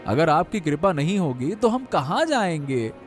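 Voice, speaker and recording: A man's voice: fairly high pitch, sing-song, unhurried, soft background music. A man voicing a humble, pleading village fishmonger in a cartoon story, deferential and coaxing. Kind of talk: Hindi cartoon moral story dialogue.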